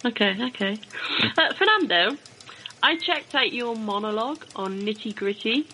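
A woman's voice: a little high, lively intonation, normal speed, sharp tone, a little hiss or hum, poor audio. A woman co-hosting a talk show, interviewing a guest in a gushing, affectionate way. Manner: gushing, affectionate, calls guest darling and sweetheart. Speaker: radio show co-host. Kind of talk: casual interview.

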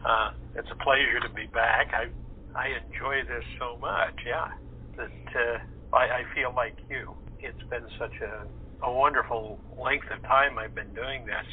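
Halting man on a tinny phone line. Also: medium pitch, fairly even intonation. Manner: halting, reflective. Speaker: voice actor, interview guest. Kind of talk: casual chat. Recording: phone-like line with faint noise.

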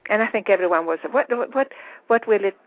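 A woman with a Swedish accent hosts a spiritual interview, feeling her way through phrases with pauses. Her voice comes through dry and narrow, like a telephone line. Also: mid-range voice, animated, no echo. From Sweden. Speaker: radio host interviewing a guest. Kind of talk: reflective spiritual interview talk. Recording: thin, phone-like radio audio.

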